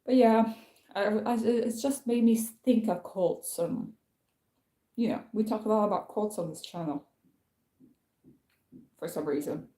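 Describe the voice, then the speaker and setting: A woman's voice: mid-range voice, measured pace, pauses often, dark tone, roomy sound. A young woman from France chatting on her own livestream, rambling with lots of fillers and restarts. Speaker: channel host. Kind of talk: casual livestream chat.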